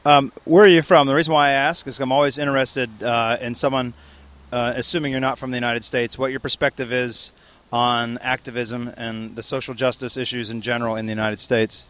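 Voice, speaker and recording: A man's voice: medium pitch, fairly even intonation, a little fast, a bit bright. A man interviewing people outdoors at a protest, asking long, probing questions. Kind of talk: street interview.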